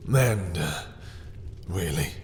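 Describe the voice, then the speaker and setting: Man playing a very deep, slow, menacing vampire. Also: lively intonation, vocal fry, in a large hall, a little hiss or hum, full character voice. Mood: smooth, commanding. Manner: slow, menacing, condescending, silky endearments. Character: possessive, sadistic male vampire, dominant and seductive. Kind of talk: intimate roleplay audio drama, theatrical.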